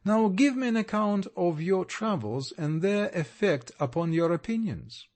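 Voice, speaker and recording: A man's voice: high-pitched, animated, measured pace, darkish tone, pitched above the performer's own voice. A man voicing a stern, haughty older guardian who speaks with cold wrath in an audiobook reading.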